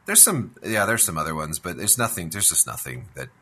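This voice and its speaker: A man's voice: low voice, very animated, fairly quick, bright, forceful tone, slightly rough. A man co-hosting a podcast, chatting casually about games and tech. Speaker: podcast co-host.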